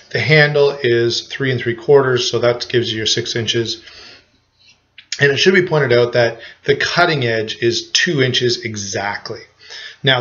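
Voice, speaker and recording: A man's voice: medium pitch, fairly bright tone, highs cut off. A man with a cold giving a casual, rambling knife review to camera, full of restarts and fillers. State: has a cold.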